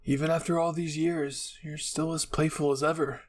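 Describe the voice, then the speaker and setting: A man's voice: fairly high pitch, flat delivery, normal speed, close to an everyday voice. A man voicing a playful, teasing young man who is earnest and easily flustered, in a fan fiction reading.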